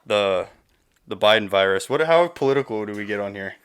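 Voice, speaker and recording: A man's voice: fairly low pitch, animated, brisk, fairly bright tone, smooth. A young American man chatting casually as a podcast guest, rambling through stories with joking, profane slang. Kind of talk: casual chat, rambling storytelling.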